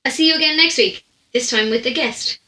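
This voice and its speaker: Young irish woman podcasting, bright voice, chatty off the cuff storytelling w lots of tangents, bit of room echo. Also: high-pitched, brisk. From Ireland. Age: young adult. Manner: chatty, rambling with asides and self-interruptions. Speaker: podcast host. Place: small room at home, indoors. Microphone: microphone on a stand, close in front. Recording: roomy home recording.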